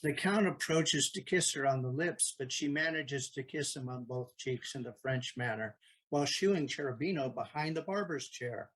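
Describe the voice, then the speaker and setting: A man narrates an audio play in a slightly high voice. He reads stage directions as scripted narration, setting out the action for the listener. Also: a bit bright, rough recording.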